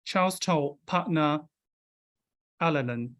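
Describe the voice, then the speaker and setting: A man's voice: a little high, slow, fairly bright tone. Man emceeing a formal awards ceremony. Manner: polished, announcing names and titles. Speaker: event emcee.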